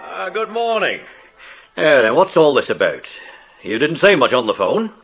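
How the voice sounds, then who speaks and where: An actor playing a brisk, jovial police inspector with a husky voice and a hearty, avuncular manner in a children's audio drama. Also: male voice, fairly high pitch, big pitch swings, a bit bright, soft background music, exaggerated voice. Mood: brisk, businesslike.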